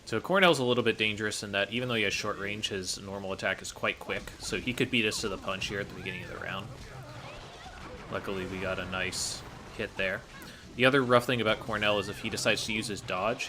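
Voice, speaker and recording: A man's voice: fairly low pitch, fairly even intonation, a little fast, background noise. A man giving casual, explanatory commentary over his own video game run. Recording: game music faintly behind. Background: fighting game music.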